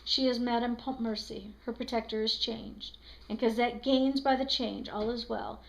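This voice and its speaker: A woman voicing a calm, solemn old man who was once a convict, speaking slow, low and quiet. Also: vocal fry, poor audio.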